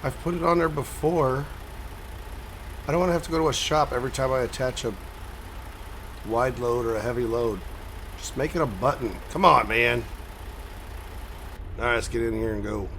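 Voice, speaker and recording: An older man with a hoarse, damaged voice, chatting casually and rambling while he plays a truck-driving game. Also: mid-range voice, fairly even intonation, normal speed, long pauses. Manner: casual, rambling, soft-spoken. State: hoarse, voice damaged after illness. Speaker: gaming channel host. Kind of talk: rambling chat over truck-driving gameplay. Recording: loud game truck engine noise behind voice.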